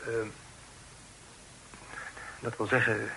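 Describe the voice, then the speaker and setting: An actor playing an earnest, well-spoken young son in a radio play, speaking formally and politely. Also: male voice, fairly low pitch, completely flat delivery, extremely slow, vocal fry, sharp tone, full character voice, rough recording. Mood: earnest, uneasy. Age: young adult. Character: earnest, respectful young son with a burdening secret. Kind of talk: Dutch radio play acting. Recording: hissy old radio recording.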